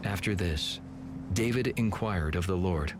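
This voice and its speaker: A man narrating scripture from a script in a measured, solemn manner. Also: deep voice. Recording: clearly audible background noise and ambience.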